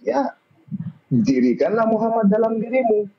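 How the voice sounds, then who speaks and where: A man's voice: high voice, sing-song, normal speed, slightly rough. A man from Malaysia explaining his views in an informal religious discussion, in an agreeable, reflective way. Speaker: discussion participant. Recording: dull lo-fi call-like audio.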